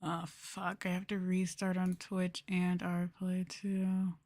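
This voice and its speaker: A woman's voice: fairly low pitch, monotone, slow pace, a little mellow. A woman livestreamer chatting casually and informally to her viewers, rambling along with lots of "like". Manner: informal, rambling, uses "like" and "y'all". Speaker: VTuber livestreamer. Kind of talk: casual soft-spoken livestream chat to viewers.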